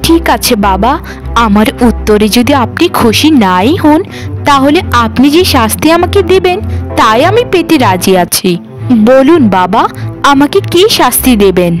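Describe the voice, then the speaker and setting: A landlord's young daughter in a cartoon, played by a woman. Her voice is high and swings widely in pitch, with earnest, emphatic delivery over soft music. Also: sing-song, moderate pace. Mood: resigned. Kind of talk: dramatic cartoon voice acting.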